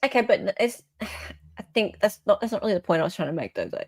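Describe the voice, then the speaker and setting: A woman's voice: medium pitch, animated, fast talker, no echo. An Australian woman hosting a live stream in casual, opinionated chat, often checking for agreement as she goes.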